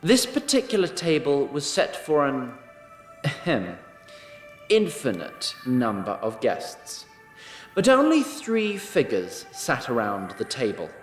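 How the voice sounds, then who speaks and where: Slow, dramatic male narrator in echoing space. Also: medium pitch, wildly swooping pitch, faint music behind. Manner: measured, dramatic, wry in places. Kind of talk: scripted fantasy story narration.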